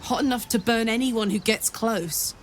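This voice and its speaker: A woman's voice: fairly high pitch, moderate pace, a little hiss or hum, close to an everyday voice. A woman voicing a fiery, candid and wistful warrior in a video game, with an earthy, wry way of talking. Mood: spirited. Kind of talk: video game character dialogue.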